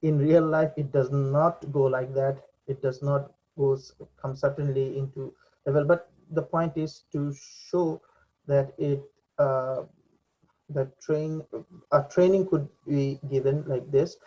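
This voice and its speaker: Young man, mellow voice, halting demo narration. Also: mid-range voice, steady tone. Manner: hesitant, halting delivery. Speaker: presenter demonstrating a VR training simulation. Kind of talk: unscripted screen demo voice-over. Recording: dull lo-fi computer mic recording.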